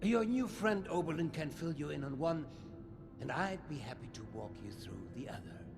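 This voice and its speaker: An actor playing an eager male vault leader who warmly welcomes a new recruit. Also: on the high side, animated, normal speed, vocal fry. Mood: welcoming. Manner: warm, effusive, drops German phrases. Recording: background game music.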